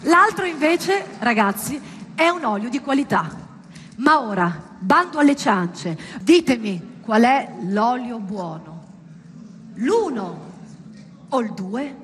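A woman's voice: on the high side, big pitch swings, slow, a bit bright. A woman performing a theatrical monologue to an audience, dramatic and ironic, with rhetorical questions. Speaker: stage actress performing a monologue. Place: indoor performance space, live. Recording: reverberant hall with background noise.

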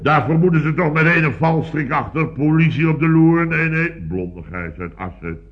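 An actor playing a blustering, boastful building contractor boss in a radio comedy, telling stories in a rambling, exclamatory, repetitive way. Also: male voice, fairly high pitch, lively intonation, normal speed, a little hiss or hum, close to an everyday voice. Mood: self-important. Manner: rambling, repetitive, exclamatory storytelling with tag questions. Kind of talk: 1970s radio comedy acting. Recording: dull, lo-fi old radio recording.

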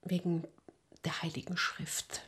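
An actress playing a calm, scheming, pious deaconess who is measured and often hushed, in a radio drama. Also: female voice, lowish voice, whispered. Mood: stern, secretive. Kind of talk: naturalistic radio drama acting.

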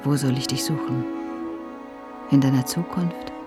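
A low female voice speaks as a wistful, tender lover in a radio play. The delivery is very slow, lyrical and intimate, with music clearly behind it. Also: fairly even intonation. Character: tender, wistful woman addressing a sleeping lover. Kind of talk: hushed poetic radio drama monologue.

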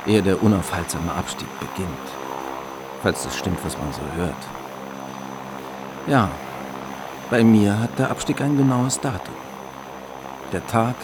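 A man's voice: deep voice, very noisy. An actor playing a wry, melancholy police investigator in his late thirties in a German radio drama, speaking in a dry, laconic, hesitant way. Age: late 30s. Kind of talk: radio drama, first-person narration and dialogue.

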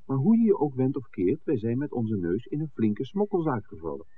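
A nosy film guy in an old radio play, lowish voice that swings a lot, wry, tinny recording. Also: huge pitch swings, brisk, a little hiss or hum. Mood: suspicious. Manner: articulate, probing, wry. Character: inquisitive, suspicious male film maker. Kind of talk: 1960s radio drama acting.

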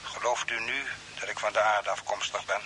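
A man acting in a radio play as a mysterious, calmly insistent Earthman stranded on Mars, speaking in a measured, formal way. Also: lowish voice. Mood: calm, knowing. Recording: hissy old radio broadcast, faint noise.

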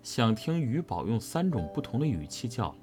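A man chatting casually and playfully as a livestream co-host, animated and teasing, quick to laugh. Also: mid-range voice. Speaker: voice actor co-hosting a fan livestream. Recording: background music.

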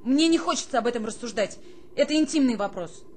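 A woman's voice: lively intonation, fast talker, a little mellow, a little hiss or hum, lightly acted. A woman playing a sensitive, artistic young army conscript who has turned into a girl, earnest and plaintive in a radio drama. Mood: pleading, hurt. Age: young adult. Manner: earnest, plaintive, high-flown intellectual speech.

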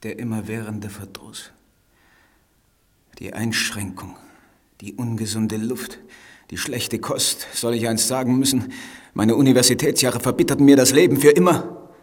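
An actor playing a tormented, proud, half-mad poet in a radio drama, with solemn theatrical declamation. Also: male voice, mid-range voice, steady tone, a little slow, pauses often, lightly acted. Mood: bitter.

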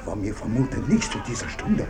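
A man's voice: mid-range voice, big pitch swings, fairly quick, background noise, lightly acted. An actor playing a haughty, affronted wealthy father-in-law in a theatrical German radio play. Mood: affronted, indignant. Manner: indignant, haughty.

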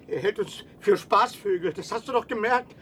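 A man's voice: high voice, moderate pace, fairly bright tone. An actor playing an anxious middle-aged father stranded after a rocket crash, urgent and agitated, in a radio drama. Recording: noisy old radio play with outdoor ambience.

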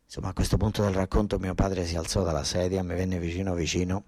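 A man's voice: low voice, little pitch movement, quick pace, mellow. A man with a southern Italian accent from Basilicata performs a theatrical first-person monologue in colloquial, dialect-tinged speech, repeating himself for emphasis as if explaining things to his family. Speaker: stage actor performing a narrative monologue.

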